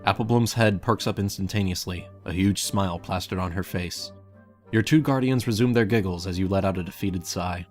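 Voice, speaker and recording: A man narrates a scripted fan fiction story in a low voice. Faint music plays underneath his reading. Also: fairly quick, darkish tone.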